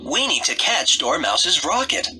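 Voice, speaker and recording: A man narrating a read-aloud story for young children in short, expressive sentences. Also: on the high side, measured pace, noisy background.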